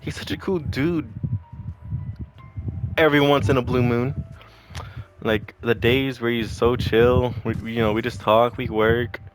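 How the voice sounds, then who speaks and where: A man's voice: medium pitch, unhurried, long pauses, music in the background. A young man venting about his boss in a rambling, profane storytime, talking to a camera at home. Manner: profane, rambling, animated retelling. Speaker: vlogger.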